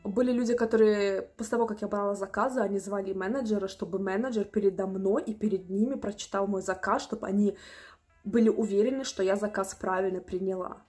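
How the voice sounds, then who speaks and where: A young woman in her twenties telling a story to the camera from a small room at home, animated and indignant. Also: medium pitch, fairly quick, soft background music. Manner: animated, indignant, acts out customers' voices. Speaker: vlogger.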